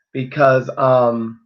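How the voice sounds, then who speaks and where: A man's voice: mid-range voice, fairly bright tone, muffled recording. A man hosting a casual live-stream sports podcast, his voice a little worn after he nearly lost it, talking in an easygoing, rambling way with many ums and restarts. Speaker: podcast host.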